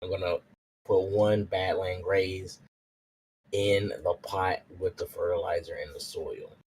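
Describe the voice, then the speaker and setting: A man's voice: deep voice, flat delivery, a little slow, a little mellow, slight background noise, rough recording. A man playing a tabletop roleplaying game, chatting casually and thinking aloud in slangy, repetitive phrases.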